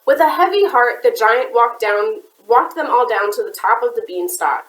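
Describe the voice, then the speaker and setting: A woman's voice: on the high side, lively intonation, normal speed, a bit bright, a little hiss or hum. A woman children's librarian reading a picture book aloud to kids, with chatty asides. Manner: chatty asides to young listeners. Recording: roomy echoey room.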